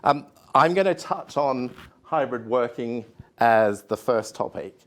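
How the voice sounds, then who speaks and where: An Australian man speaking at a conference panel, measured, with many "um"s and restarts. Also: mid-range voice, expressive, noisy background. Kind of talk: conference talk.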